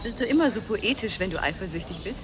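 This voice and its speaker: A woman's voice: medium pitch, expressive, fast talker, a crowd in the background, close to an everyday voice. An actress playing a cool, guarded West German woman in a radio drama, answering in short, dry replies. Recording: loud vehicle and ambience noise.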